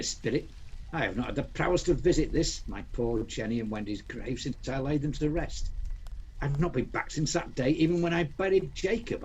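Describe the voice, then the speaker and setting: A man's voice: mid-range voice, close to an everyday voice. A man acting a bitter, regretful old miser, haunted and pleading, in an amateur radio play. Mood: distressed, pleading. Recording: dull home video-call recording, background noise.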